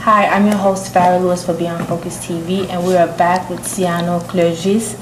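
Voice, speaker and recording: A woman's voice: fairly low pitch, flat delivery, normal speed, background noise. A woman hosting a TV interview show, upbeat as she welcomes a guest and keeps prompting him. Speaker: TV show host.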